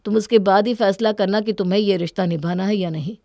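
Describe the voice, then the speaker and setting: A guarded, resentful young husband from a cartoon story, acted out by a woman. The delivery is earnest and plaintive at medium pitch, recorded dry and close. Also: fairly even intonation, quick pace, dark tone, dry recording, close to an everyday voice. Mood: guarded, sombre.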